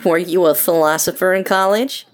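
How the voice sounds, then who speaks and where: A woman's voice: lowish voice, steady tone, normal speed, close to an everyday voice. A woman playing a weary, guarded, sceptical young woman in an audio drama, with a dry, curt, questioning manner. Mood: weary, reserved.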